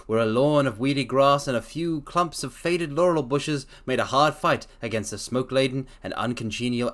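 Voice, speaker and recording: A man giving a dramatic storybook reading, theatrical and playful, with excited chatty asides. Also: medium pitch. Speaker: streamer reading aloud.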